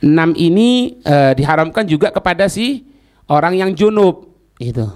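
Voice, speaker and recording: A man's voice: fairly high pitch, lively intonation, moderate pace, a little mellow. A man with a Javanese accent teaching a religious lesson, didactic, repeating phrases and checking that students follow. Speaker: Islamic teacher.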